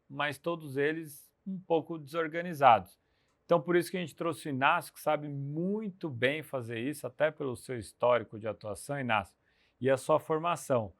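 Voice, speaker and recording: A man hosting a podcast interview, opening the episode and asking questions in an energetic, conversational way. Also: a little high, moderate pace. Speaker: podcast host.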